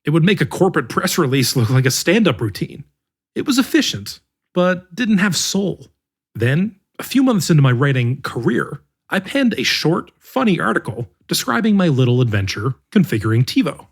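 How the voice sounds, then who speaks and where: Husky man, lively narration. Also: fairly high pitch, moderate pace. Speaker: podcast narrator reading an article. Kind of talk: scripted narration.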